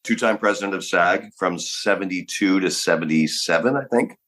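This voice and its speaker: A middle-aged man from Los Angeles, a guest on a podcast, telling stories in a relaxed, casual way. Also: deep voice, normal speed. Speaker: guest actor. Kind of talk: casual interview chat.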